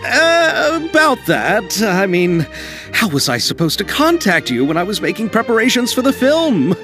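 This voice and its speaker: Eager, flustered, high-voiced film director. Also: male voice, huge pitch swings, normal speed, fairly bright tone, faint music behind, full character voice. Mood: flustered, apologetic. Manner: hesitant, effusive, polite. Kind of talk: video game voice acting.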